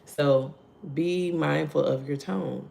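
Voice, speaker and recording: Slow soft-spoken Texan woman, gravelly. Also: sits low, steady tone. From Texas. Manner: slow-spoken, greets viewers by name, asks "right?" often. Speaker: devotional host. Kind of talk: live-streamed morning devotional.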